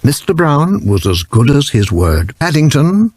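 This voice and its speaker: A man's voice: fairly high pitch, big pitch swings, unhurried, darkish tone, husky, no room sound. A man narrating a children's story from a script, as an audiobook reader.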